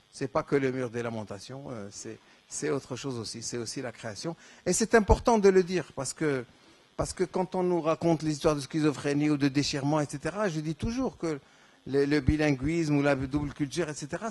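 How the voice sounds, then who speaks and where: A man's voice: a little high, darkish tone. A man from Morocco whose first language is Darija, answering questions at a conference with many restarts and fillers. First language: Moroccan Arabic (Darija). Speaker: conference speaker. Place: lecture hall.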